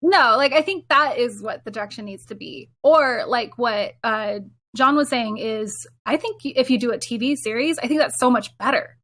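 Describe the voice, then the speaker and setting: A woman with a slightly high voice talks as a panelist on a casual podcast. She explains her points step by step, rephrasing and correcting herself along the way. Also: moderate pace, a bit bright.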